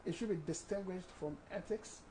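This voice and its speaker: A Ghanaian man in his sixties, a guest author speaking in a measured, explanatory way in a studio interview on a lapel mic. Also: high-pitched, warm tone, a little hiss or hum, poor audio. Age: 60s.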